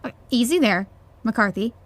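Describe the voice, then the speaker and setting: A woman's voice: on the high side, animated, normal speed. A woman playing an unflappable, teasing young friend with a wry, casual, soothing manner in an audio comedy-drama.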